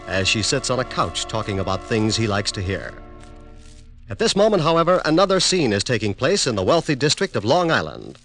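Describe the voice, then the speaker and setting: Animated male old-time radio narrator over music. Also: medium pitch. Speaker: announcer and narrator. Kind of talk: 1950s radio drama scripted narration. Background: music under the voice.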